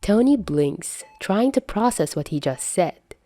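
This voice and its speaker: A woman's voice: mid-range voice, lively intonation, a little slow, dark tone, dry recording. A woman reading scripted fiction aloud as the narrator of an audio story. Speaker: podfic narrator.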